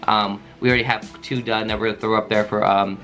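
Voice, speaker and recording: A man's voice: fairly low pitch, level tone, fast, fairly bright tone, soft background music. A man co-hosting a reaction channel in casual chat, stuffy-nosed from allergies and rambling with lots of ums. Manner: rambling, with many ums and restarts.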